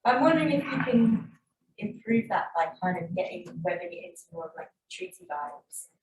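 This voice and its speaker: A woman's voice: a little high, sing-song, moderate pace, darkish tone, distant mic. A young woman in the audience, a student activist, asking a chatty, casual question full of fillers. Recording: echoey hall from afar, faint noise.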